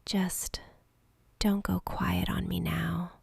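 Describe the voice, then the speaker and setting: A woman's voice: lowish voice, little pitch movement, gravelly, breathy edge, no echo, full character voice. A woman voicing a lonely, centuries-old vampire girl in an intimate ASMR roleplay, whispering breathily and close to the mic with tender, hesitant longing. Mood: earnest, pleading. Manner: slow, intimate, hesitant pleading. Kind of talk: whispered soft-spoken ASMR romantic roleplay.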